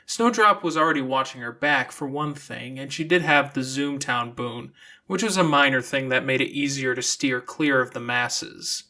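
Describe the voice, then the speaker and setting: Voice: medium pitch, moderate pace, sharp tone. An audiobook narrator reading scripted fiction in a storytelling style.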